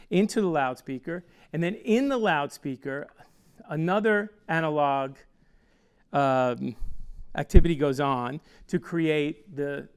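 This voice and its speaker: A man in his sixties, an audio designer, explaining a loudspeaker system in a technical, rambling way with fillers, on a lapel mic in a small studio. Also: a little high, clean tone. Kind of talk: product explainer. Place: small studio with wooden backdrop.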